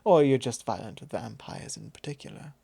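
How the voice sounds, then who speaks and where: A man playing a possessive, teasing vampire lord in an intimate ASMR roleplay, speaking softly in a measured, drawling, formal way. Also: mid-range voice, creaky, breathy edge. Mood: curious, musing. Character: possessive male vampire lord, teasing, calmly probing his thrall.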